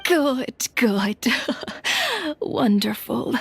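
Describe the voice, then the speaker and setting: A woman's voice: high-pitched, huge pitch swings, gravelly, breathy edge, exaggerated voice. A woman playing a kindly, forgetful old grandmother in a video game, hesitant and doting, with a wavering, aged voice. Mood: warm, pleased. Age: older. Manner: slow, hesitant, fussing and doting. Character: forgetful, kindly old granny with failing memory. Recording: background music under the dialogue.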